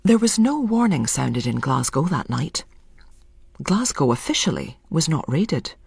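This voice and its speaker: Expressive, husky woman storyteller. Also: deep voice, unhurried, no echo. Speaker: storyteller, narrator. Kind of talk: dramatic scripted literary narration.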